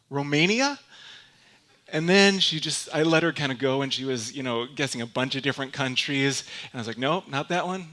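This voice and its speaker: A young man from Michigan with a Michigan accent, preaching in a chatty, self-deprecating way. His voice sits a little high and sounds bright and forward. He tells personal stories and checks in with the congregation as he goes. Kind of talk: conversational sermon with personal stories.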